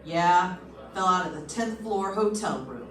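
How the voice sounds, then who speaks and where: A woman's voice: lowish voice, animated, a little slow. Echoey world-weary homicide lieutenant, played by a woman. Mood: brisk, grim. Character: matter-of-fact police homicide lieutenant, cautious and world-weary. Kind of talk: old-time radio detective acting. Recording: echoey stage, distant mic.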